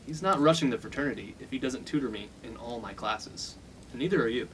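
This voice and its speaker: An actor playing a bullying, dim young fraternity boss in a comedic radio play, blunt and domineering. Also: male voice, mid-range voice, animated, brisk, a bit bright, slight background noise, close to an everyday voice, poor audio. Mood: threatening.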